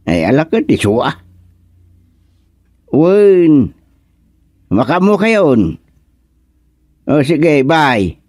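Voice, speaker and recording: An actor playing an easygoing older village husband in a comic radio serial, drawling and chuckling with lots of grunts. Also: male voice, a little high, very animated, drawn-out words, pauses often, a little mellow, dry recording, clean studio sound, exaggerated voice. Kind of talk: comic radio drama acting.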